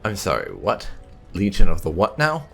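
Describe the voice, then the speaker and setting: A man's voice: sits low, wildly swooping pitch, moderate pace, creaky, fairly bright tone, soft background music. A man giving casual playthrough commentary as a gaming host, with dry, muttered asides. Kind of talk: casual playthrough commentary, some in-character line reading.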